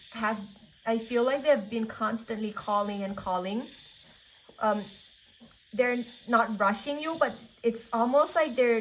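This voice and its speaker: Thai-native lady doing a tarot reading, slow and calm, kinda high voice, lots of ums. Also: a little hiss or hum, low-quality recording. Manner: slow, searching, soothing, with many fillers and restarts. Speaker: tarot card reader. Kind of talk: spiritual pick-a-card reading.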